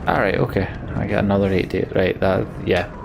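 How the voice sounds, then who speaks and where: Low, creaky-voiced male gamer. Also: brisk, background noise. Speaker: let's play host. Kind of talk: game commentary and reading dialogue aloud.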